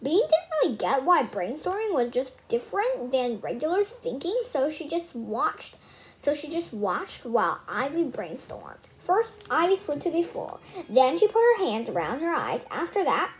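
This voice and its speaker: A young girl reads a children's story out loud in a high voice. Her pitch swings around in an animated way. She often stumbles, repeats a phrase, and slips into casual asides. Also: normal speed, a little hiss or hum, rough recording. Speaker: read-aloud host. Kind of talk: reading a children's book aloud with casual asides.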